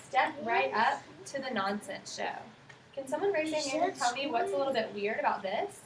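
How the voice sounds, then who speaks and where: A woman with a high-pitched voice leads an interactive picture-book reading for small children. She asks simple questions and affirms the answers. The recording is roomy and distant, with clear noise behind her. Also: expressive, moderate pace. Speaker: student teacher reading aloud to kindergarten children. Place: echoey indoor room with children. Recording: roomy, noisy, distant microphone.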